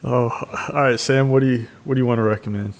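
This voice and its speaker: A man with an average-pitched voice hosts a podcast. He talks in a loose, conversational way, as in casual chat among friends. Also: steady tone, quick pace. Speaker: podcast host.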